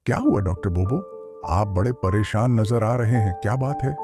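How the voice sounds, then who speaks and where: A man's voice: on the low side, animated, normal speed, over loud music. Man acting a swooping, comforting forest animal. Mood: concerned. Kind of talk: Hindi cartoon moral story acting.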